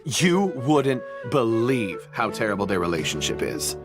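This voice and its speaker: A woman's voice: extremely low pitch, very animated, a little slow, exaggerated voice. A woman voicing a polite but gossipy academy clerk in a video game. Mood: chatty. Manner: courteous, slightly gossipy. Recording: game audio with music behind.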